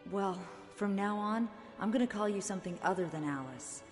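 An actress playing an earnest, hopeful young heroine in a video game cutscene, speaking sincerely. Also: female voice, medium pitch, expressive, normal speed, natural voice. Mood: warm relief. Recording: long reverb, faint music behind.

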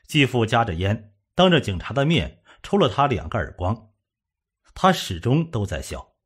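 A man with a husky voice reading a scripted suspense story as an audiobook narrator. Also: mid-range voice, very animated, moderate pace.

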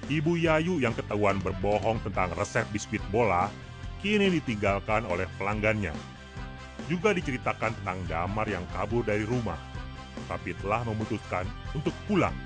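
A man's voice: on the low side, expressive, normal speed, music in the background. A man narrating an episode recap for a radio drama in a scripted storytelling style.

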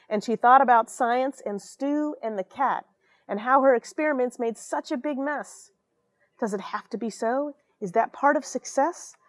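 A woman's voice: on the high side, dry recording. An American woman in her forties reading a children's storybook expressively, with dramatic emphasis and friendly asides to young listeners. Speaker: astronaut reading a children's picture book. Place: small equipment-filled space station airlock.

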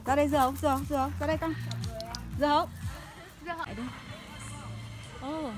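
A very high-voiced woman talks casually to her dog, coaxing it as if it were a child. She is recorded outdoors on a phone, with music clearly behind her. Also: fairly even intonation, fast talker. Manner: doting, calls the dog like a child. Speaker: dog owner filming a vlog. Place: outdoors at a campsite.

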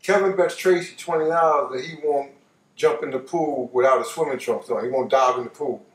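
Casual male storyteller, late fifties, New York accent, animated and repetitive for emphasis, recorded in a roomy living room at some distance. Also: medium pitch, brisk. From New York, United States. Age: late 50s. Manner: animated, repeats phrases for emphasis. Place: living room, seated on a couch.

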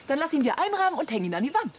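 An actress playing a dry, sarcastic, long-suffering middle-aged wife in a German radio play. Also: female voice, high-pitched, sing-song, racing, slight background noise. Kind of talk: German audio drama dialogue.

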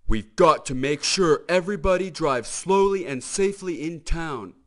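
A man's voice: a little high, lively intonation, normal speed, pitched above the performer's own voice, natural voice. Man doing a firm police-car sheriff. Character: stern but caring male police car sheriff.

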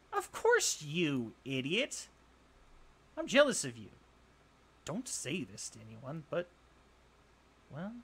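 A man's voice: sits high, fairly bright tone, heavily acted. A young man playing a jealous, insecure college bully in a roleplay audio drama, scoffing, sighing and defensive. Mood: bitter, jealous. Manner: scoffing, sighing, swearing, halting when flustered.

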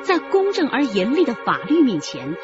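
A woman's voice: a little high, wildly swooping pitch, normal speed. A woman narrating a scripted radio drama in a solemn, formal manner. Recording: dull sound under music.

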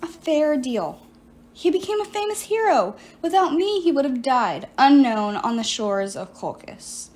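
A woman's voice: sits high, lively intonation, unhurried, darkish tone. A woman voicing a scheming, vengeful ancient sorceress in an audiobook, theatrical and coaxing. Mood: plaintive, self-justifying. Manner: coaxing, self-pitying, theatrical. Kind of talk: audiobook character voice.

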